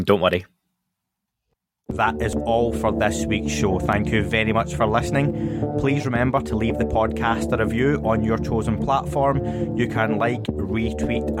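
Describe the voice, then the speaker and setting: A man hosting a podcast, wrapping up an interview in a friendly way and reading the closing plugs steadily. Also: on the low side. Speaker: podcast host.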